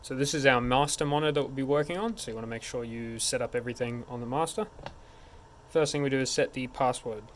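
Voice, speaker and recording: A man's voice: mid-range voice, quick pace. A man narrating a hands-on technical tutorial in an informal, step-by-step way. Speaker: tech support instructor. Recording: clear background noise.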